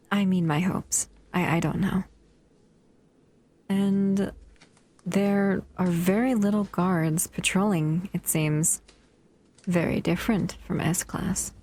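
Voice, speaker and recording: Breathy, unhurried doting monster played by a woman, soft and whispery; low-set female voice, possessive and sweet. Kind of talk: audio roleplay.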